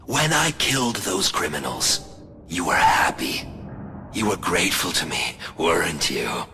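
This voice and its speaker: An actor playing a deranged killer turned monster in a video game, menacing and taunting, moving between whispers and shouts. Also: male voice, low-pitched, very animated, measured pace, soft background music, exaggerated voice. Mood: taunting, fanatical. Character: deranged serial killer turned symbiote monster, preaching chaos and death. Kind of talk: video game villain voice acting.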